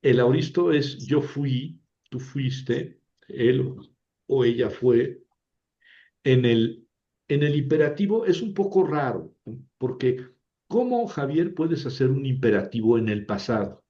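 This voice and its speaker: A man's voice: medium pitch, lively intonation, slow pace, dark tone. A man teaching a language lesson, explaining patiently and often checking with "¿verdad?". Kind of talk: lecture. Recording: dull lo-fi recording.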